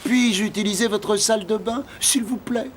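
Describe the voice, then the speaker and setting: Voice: medium pitch, fairly even intonation, normal speed, slight background noise, close to an everyday voice. An actor voicing a vain, self-important male dung beetle in a cartoon, with pompous, theatrical, courtly delivery. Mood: flustered, polite. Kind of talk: animated cartoon voice acting.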